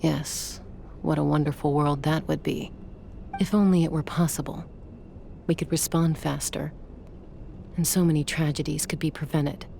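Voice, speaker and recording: Game heroine: cool-headed, commanding peacekeeper, low register with fry, dry and brisk delivery. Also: female voice, fairly even intonation, dark tone, slight background noise. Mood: wistful, then indignant. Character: tough, cool-headed young woman gunslinger peacekeeper, resolute. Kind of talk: video game English dub acting.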